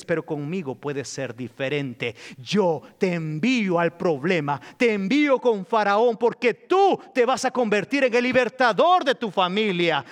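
A man preaching a sermon as pastor, swinging between hushed passages and loud, emphatic peaks. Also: on the high side. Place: church hall. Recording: reverberant church hall.

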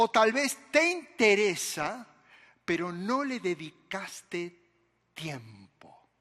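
A man's voice: a little high, breathy edge, long reverb tail. A man with an Argentine accent, a pastor delivering a sermon and talking straight to his congregation while he tells a personal story. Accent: Argentine Spanish. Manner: animated, storytelling preacher who addresses the congregation directly.

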